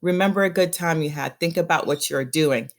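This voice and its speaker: A woman reading a children's picture book aloud as a storyteller, with expressive, deliberate phrasing and drawn-out emphasis on key words. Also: sits low.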